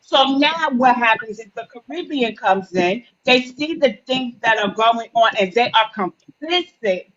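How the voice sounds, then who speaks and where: A woman's voice: a little high, expressive, measured pace, hardly any pauses, fairly bright tone. A woman firmly laying out an argument in a live-stream debate. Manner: firm, lays out an argument. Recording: dull lo-fi sound.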